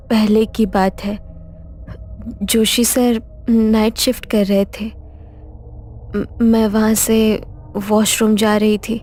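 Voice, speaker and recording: A woman playing an alert senior nurse in a cartoon horror story, recounting in a halting, serious way. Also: on the high side, dark tone.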